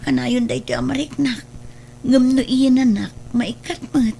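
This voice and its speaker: A woman's voice: high-pitched, fairly even intonation, moderate pace, creaky, dark tone, a little hiss or hum. An actress playing a poor, devoted, self-sacrificing mother in an Ilocano drama, humble and earnest, with an Ilocano Filipino accent. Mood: reassuring, ailing. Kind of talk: Ilocano radio-style drama acting.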